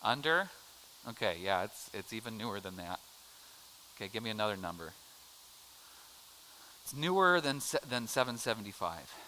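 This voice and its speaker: A man's voice: on the low side, sing-song, normal speed, long pauses, sharp tone, slight background noise, low-quality recording. A middle-aged American man teaching a casual adult Bible class, chatty and joking as he quizzes the room. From United States. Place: church classroom.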